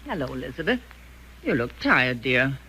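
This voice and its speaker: An actress playing a plain-spoken, indignant cook and confidante in a 1940s radio thriller, with a folksy, blunt, emphatic manner. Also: female voice, very deep voice, very animated, moderate pace, sharp tone, slightly rough, heavily acted. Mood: soothing. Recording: noisy vintage 1940s radio recording.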